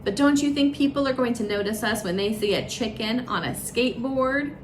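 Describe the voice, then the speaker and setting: A woman's voice: on the high side, a little fast, music in the background, lightly acted. A woman voicing an exasperated, hot-tempered male tomato detective in a children's storybook, exclaiming and growling in protest. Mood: grumbling.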